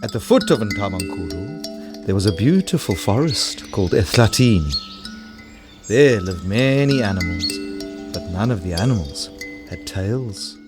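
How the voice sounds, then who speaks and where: A narrator telling a folktale in an animated storytelling style for children. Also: low voice, moderate pace, over music.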